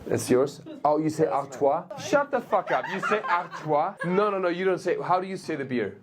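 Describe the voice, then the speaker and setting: A man's voice: a little high, animated, normal speed, crowd noise. Man ranting in a mocking comic bit. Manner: mocking, mimics voices. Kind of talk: comedic rant.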